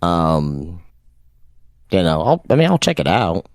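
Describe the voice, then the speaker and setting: A man's voice: low-pitched, very animated, slow, a little mellow, dry recording, clean studio sound. A man co-hosting a podcast, talking casually with lots of stammered restarts and fillers. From Texas. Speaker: podcast co-host.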